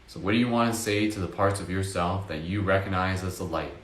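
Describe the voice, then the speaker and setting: A man with a very deep voice leads a guided meditation in a slow, soft, hypnotic way, dropping in casual fillers as he goes. The room around him is echoey. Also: steady tone.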